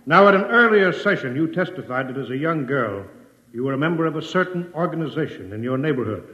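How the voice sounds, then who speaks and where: An actor playing a formal senator questioning a young witness in a 1950s radio drama. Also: male voice, fairly high pitch, animated, fast talker, pushed and bright. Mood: stern. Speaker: senator chairing a hearing. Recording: echoey, dull old radio broadcast.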